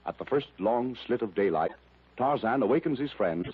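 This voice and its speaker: A man's voice: medium pitch, expressive, moderate pace, breathy edge. A man narrating a 1930s radio adventure serial in a solemn, scripted style. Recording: muffled old radio transcription.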